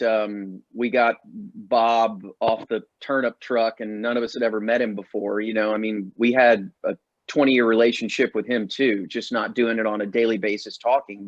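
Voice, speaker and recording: A male radio co-host chatting in a relaxed, casual way. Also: fairly low pitch, no room sound. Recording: dull, dry sound.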